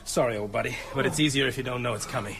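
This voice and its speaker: An actor playing a shrewd, wry American small-town doctor who is hurt but scheming, in a radio drama. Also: male voice, mid-range voice, very fast, a crowd in the background, close to an everyday voice. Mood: pained, wry.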